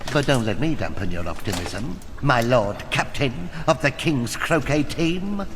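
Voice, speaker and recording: An actor playing a theatrical, gloating villain sorcerer in a video game, with a pompous, sneering and mocking delivery. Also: male voice, mid-range voice, lively intonation, a little slow, in a large hall, heavy background noise. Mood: scornful. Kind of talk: video game cutscene acting.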